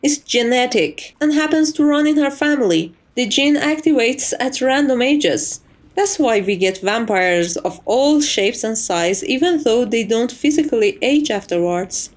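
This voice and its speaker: Vampire's maid, played by a woman for ASMR roleplay: formal, reassuring, gently wry, with a very expressive, fairly high voice. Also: unhurried. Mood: calm reassurance. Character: composed, courteous vampire's maid, wryly teasing.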